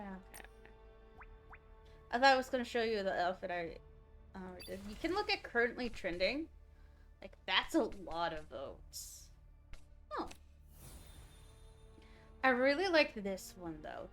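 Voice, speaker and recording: A woman's voice: mid-range voice, fairly bright tone. A woman livestreaming a game, chatting casually and thinking aloud as she reacts to what is on screen. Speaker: game streamer.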